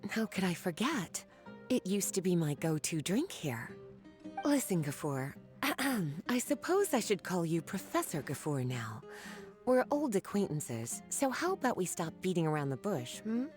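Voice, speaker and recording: A woman's voice: fairly low pitch, a little mellow. An actress playing a languid, self-assured, teasing scholar witch in a video game, with a knowing manner. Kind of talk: scripted game dialogue.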